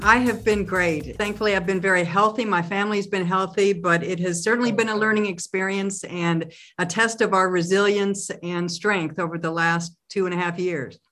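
A middle-aged woman from Oklahoma, a company president and interview guest, telling a story in a reflective, measured way. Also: medium pitch, fairly even intonation, fairly bright tone, faint music behind. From Oklahoma, USA.

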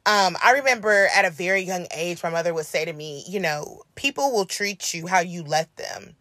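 Hoarse woman podcaster, bright dry sound. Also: fairly low pitch, no echo. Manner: earnest, encouraging, drawn-out emphasis. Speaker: podcast co-host. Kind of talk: casual podcast chat.

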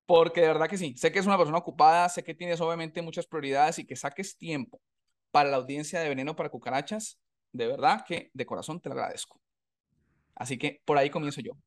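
A man's voice: on the high side, fast. A man hosting a podcast by video call, upbeat and thankful, often repeating his phrases. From Colombia. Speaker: podcast host. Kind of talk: casual interview chat. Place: home setup in a US city.

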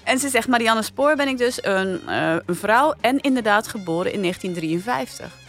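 A woman's voice: a little high, animated, normal speed, fairly bright tone, faint music behind. A woman with a Netherlandic Dutch accent, hosting a podcast in casual conversation with a panel. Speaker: podcast host.

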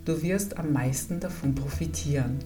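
Italian-accented woman, psychologist host, low and gentle, set back from the mic with soft music underneath. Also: normal speed, far from the mic. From Italy. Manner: gentle, encouraging, addresses listener directly. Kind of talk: calm explanatory podcast talk.

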